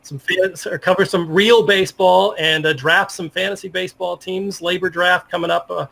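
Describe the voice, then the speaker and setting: A middle-aged man speaking as an interview guest in a casual, halting, self-effacing way about sports. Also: sits high, fairly even intonation, creaky, bright, forceful tone.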